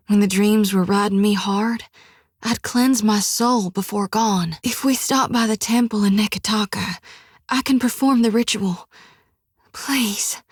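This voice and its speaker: A woman's voice: mid-range voice, dry recording, clean studio sound. An actress voicing a young woman priest who carries a soul-reaping lantern, speaking with a folksy drawl, earnest and devout. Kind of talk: video game voice acting. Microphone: close studio mic.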